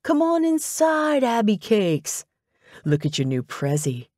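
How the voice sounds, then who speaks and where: A woman voicing a smug, leering young ex-boyfriend in an audiobook, with a slow, oily drawl. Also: deep voice, darkish tone, dry recording, clean studio sound, close to an everyday voice.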